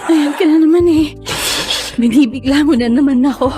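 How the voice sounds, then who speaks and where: A woman's voice: sits high, normal speed, mellow, faint music behind, close to an everyday voice. An actress playing a playful, giggly young woman in a casual romance, in a radio drama.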